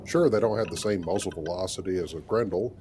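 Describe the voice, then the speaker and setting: A man's voice: low-pitched, fast talker, background noise. A man role-playing as a captain over a game, with a wry, conversational delivery. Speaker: gaming channel host role-playing as captain. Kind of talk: in-character role-play narration over game.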